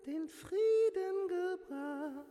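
An actress playing a solemn chorus voice in a Greek tragedy radio drama, chanting repeated phrases in an echoing space over music. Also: female voice, extremely high pitch, extremely slow, full character voice. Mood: solemn, haunting. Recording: echoing voice under loud music. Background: loud music.

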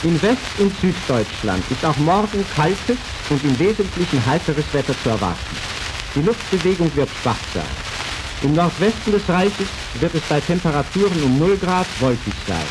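A man reading the weather in a formal, declamatory early radio style, from an old disc recording. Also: a little high. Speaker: radio newsreader. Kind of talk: formal 1930s weather report. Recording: noisy, hissy 1932 disc recording.

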